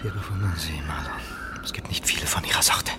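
An actor playing a nervous, superstitious spaceship crewman in a radio play, obsequious and admiring, often dropping into a breathy whisper. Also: extremely low pitch, fast, roomy sound. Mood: fawning. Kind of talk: German radio play acting.

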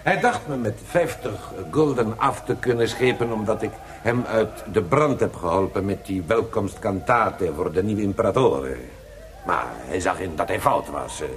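A man playing an excitable, scheming Italian opera librettist in a radio drama, with an Italian accent and theatrical Italian exclamations. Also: on the low side, normal speed, close to an everyday voice. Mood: confiding.

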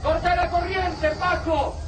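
An Argentine man playing a brash, triumphant fighter in a radio drama, with drawn-out yells. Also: very high voice, level tone, low-quality recording.

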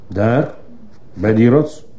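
A man's voice: on the low side, expressive, very slow, warm tone. A man playing a stern, probing judge who fires insistent questions in a radio courtroom drama. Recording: muffled, hissy old radio play recording.

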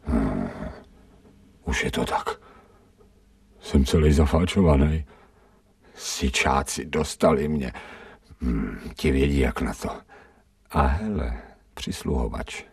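An actor voicing a streetwise stray dog musing to himself in slangy, wry, awestruck radio drama monologue, with a gravelly voice. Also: male voice, a bass voice, animated, long pauses, darkish tone, exaggerated voice. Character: streetwise stray male dog narrating his thoughts, wry, awed.

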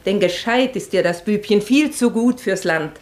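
A woman's voice: medium pitch, animated, normal speed, darkish tone, natural voice. An actress playing a poor, pushy country widow in a German radio play, coaxing and plaintive as a boastful mother.

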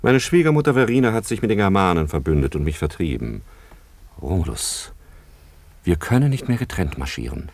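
Fugitive Eastern emperor, radio play: lowish voice, hugely varied melody, pompous, fretful, grandiose. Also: male voice, big pitch swings, brisk, creaky, no room sound, heavily acted. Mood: alarmed, indignant. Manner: declamatory, grandiose. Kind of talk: German radio play acting.

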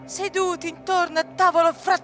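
A woman's voice: little pitch movement, normal speed, pushed and bright, soft background music. A woman playing a puzzled, chatty servant musing hesitantly in a stage drama monologue. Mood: wondering. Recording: clear background rumble and noise.

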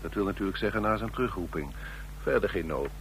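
An actor playing a cool, manipulative secret agent in a radio drama, deliberate, insinuating and coaxing. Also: male voice, on the low side, animated, fairly bright tone. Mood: cool, businesslike. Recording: noisy, humming old radio recording.

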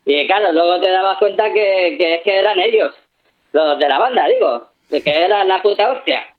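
A man's voice: high voice, moderate pace, lightly acted. A man playing a foul-mouthed punk fan on the phone. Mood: rambling. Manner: swears constantly, rambling. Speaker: interviewee. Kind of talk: comic radio sketch. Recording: tinny phone line.

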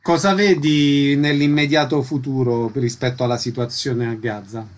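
A man's voice: medium pitch, fairly even intonation, normal speed, slight background noise. A man hosting a radio interview, reading prepared questions and announcements.

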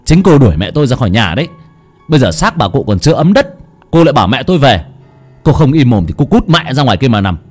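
Man voicing a gruff, snappish husband. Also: mid-range voice, sing-song, quick pace, long pauses, dark tone, soft background music. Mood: furious. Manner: snapping and scolding.

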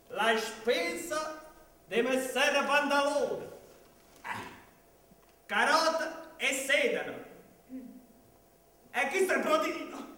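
Very high-voiced grumbling servant. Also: male voice, pushed and bright, full character voice. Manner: complaining, lilting, breaks into sung refrains. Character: grumbling, hungry, sing-song male commedia dell'arte servant. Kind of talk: commedia dell'arte radio theatre acting. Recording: very echoey room, distant mic.

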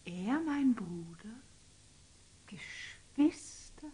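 An actress playing a young, devoted, passionate daughter in a classic German radio drama, emotional and urgent. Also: female voice, fairly high pitch, expressive, drawn-out words, mellow, breathy edge, heavily acted. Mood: stunned. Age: young adult.

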